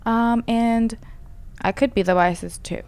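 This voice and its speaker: Clear-voiced young Persian-speaking woman co-host. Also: on the high side, fairly even intonation, measured pace, darkish tone. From Iran. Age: 20s. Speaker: podcast co-host. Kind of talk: casual panel discussion.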